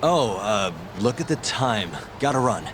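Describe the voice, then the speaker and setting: A man playing a laid-back, deadpan private detective in a video game dub. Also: medium pitch, measured pace, background noise, close to an everyday voice. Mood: awkward.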